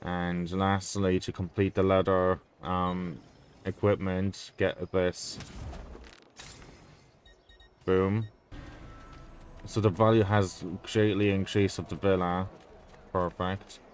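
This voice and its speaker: A man's voice: deep voice, little pitch movement, takes it slowly, pauses often, soft background music. A man whose first language is Polish, giving rambling, hesitant gameplay commentary with frequent fillers and casual swearing. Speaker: gameplay video creator.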